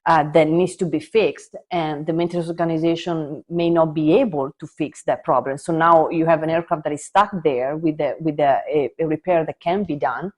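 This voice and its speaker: A woman co-hosting a conversational discussion, reasoning through points in a slightly halting, tentative way. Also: low-pitched, steady tone. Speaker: podcast co-host.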